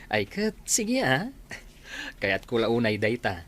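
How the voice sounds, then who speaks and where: An actor playing an easygoing, fond older brother in a radio drama. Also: male voice, medium pitch, wildly swooping pitch, slow pace, fairly bright tone, heavily acted. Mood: warm, easygoing. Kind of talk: Ilocano radio drama acting.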